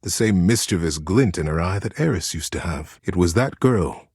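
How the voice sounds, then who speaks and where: An actor voicing a gentle, earnest storyteller character from a game, narrating a bedtime tale with dramatic pauses. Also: male voice, low voice, expressive, slightly rough, no room sound, clean studio sound, exaggerated voice. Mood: melancholy. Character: gentle male teddy bear storyteller, tender and earnest.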